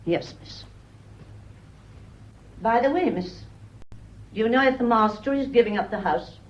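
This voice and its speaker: A woman's voice: fairly high pitch, lively intonation, a little slow. An actress playing a devoted, prying woman servant, deferential yet pointed, in a period radio mystery. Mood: pointed, probing. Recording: dull, muffled old radio recording.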